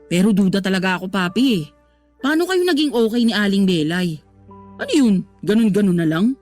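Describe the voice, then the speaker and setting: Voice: medium pitch, very animated, fairly quick, darkish tone, natural voice. An actor playing a teasing, protective grown child of a single father in a Tagalog radio drama, playful and coaxing. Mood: teasing, curious. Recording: music bed under the voice.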